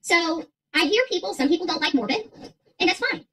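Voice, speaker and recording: A woman's voice: very high-pitched, expressive, brisk, a bit bright, low-quality recording. A middle-aged woman chatting casually to livestream viewers as host, emphatic, repeating herself and rambling. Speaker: livestream creator and channel host. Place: small furnished room at home, indoors.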